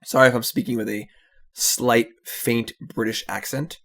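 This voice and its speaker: A man casually commentating his own card game, analytical and relaxed, often trailing off with "so". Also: mid-range voice, moderate pace.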